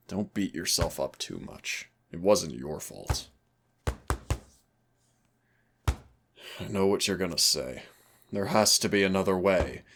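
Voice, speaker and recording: A man playing a centuries-old demon hunter, teasing and rebellious yet wistful and protective, in an intimate audio roleplay with a gravelly voice. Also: noisy background. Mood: somber, consoling. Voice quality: very low, gravelly creaky voice. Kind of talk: intimate second-person audio roleplay acting.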